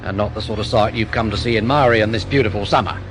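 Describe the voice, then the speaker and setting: A man's voice: fairly low pitch, quick pace, music in the background, close to an everyday voice. An actor playing a polite, evasive Welsh countryman in a radio drama, with soothing, deferential phrasing and a Welsh accent. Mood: smoothly evasive.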